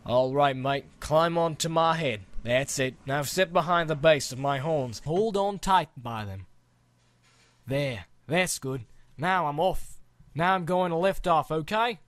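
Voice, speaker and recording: A man voicing a big, friendly male dragon who talks in a relaxed, chummy way and calls the boy mate. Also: a little high, a little slow, dry recording, voice pushed up, natural voice.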